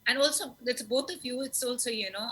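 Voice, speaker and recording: A woman interview host speaks in a bright, crisp voice with an Indian English accent. She talks in an easy conversational way and mixes Hindi phrases into her English questions. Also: on the high side, a little fast, a little hiss or hum, poor audio.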